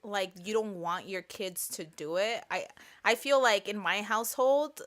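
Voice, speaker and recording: A young woman talks in a loose, filler-heavy way, with some swearing, as she co-hosts a chat podcast. Her voice has a bright, forward edge. She comes from a Mexican-American family. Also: mid-range voice, a little slow. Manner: chatty, lots of "like", swears. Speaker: podcast co-host. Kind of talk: casual chat.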